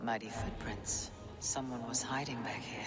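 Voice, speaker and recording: A woman playing a wary, determined Viking warrior in a video game, speaking in a measured, terse way. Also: very deep voice, steady tone. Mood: pensive, investigating. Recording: music behind the voice. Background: game music.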